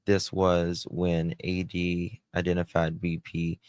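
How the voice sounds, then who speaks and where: A man hosts a casual live stream in a low voice. He takes his time, musing conversationally as he reacts, and the sound is dry and close. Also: completely flat delivery, slow pace, a little mellow, dry recording. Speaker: host reacting to recordings and reading live comments.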